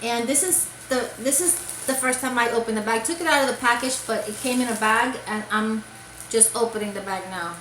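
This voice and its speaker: A woman's voice: on the high side, fairly quick, hardly any pauses, fairly bright tone, reverberant, a little hiss or hum, poor audio. A woman from Puerto Rico chatting casually about crafts for her video, rambling with many fillers and restarts. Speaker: crochet vlogger. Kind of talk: casual show-and-tell chat.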